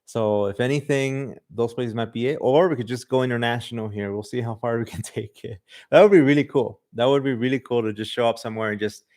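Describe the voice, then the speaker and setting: A man's voice: medium pitch, steady tone, fairly quick, darkish tone. Chatty man with a Mexican-tinged accent. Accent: Mexican Spanish influence. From Southern California. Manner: loose and chatty, many "like" and "um" fillers. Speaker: podcast host. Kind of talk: casual livestream chat reading viewer comments. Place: livestream in front of a camera.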